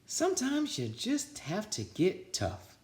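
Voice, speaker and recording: Man putting on a high, sweet woman schoolteacher, echoey. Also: huge pitch swings, takes it slowly, a little mellow, full character voice. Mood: sweet, relieved. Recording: roomy indoor echo.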